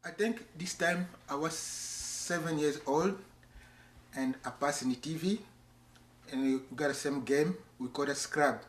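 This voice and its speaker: Earnest man from Congo, halting English. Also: a little high, roomy sound, a little hiss or hum. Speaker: interviewee. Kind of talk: documentary interview.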